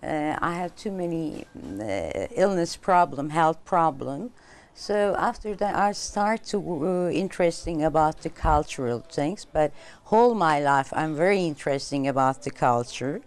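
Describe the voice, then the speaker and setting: A middle-aged woman with a Turkish accent talks casually in an interview about her work, in halting non-native English. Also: deep voice, lively intonation. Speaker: business owner being interviewed.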